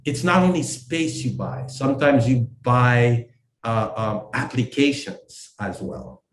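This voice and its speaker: A man from Haiti, a company co-founder, explaining his work in a casual interview, halting with many fillers and restarts. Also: mid-range voice, roomy sound, a little hiss or hum. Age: adult.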